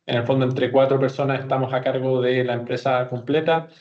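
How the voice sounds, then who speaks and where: Man, forties, Chilean accent, even delivery, conversational interview guest, hesitant and explanatory, slightly dull recording. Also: medium pitch, brisk. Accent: Chilean Spanish. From Santiago, Chile. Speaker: podcast guest, company executive. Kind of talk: conversational interview answers, personal recollection.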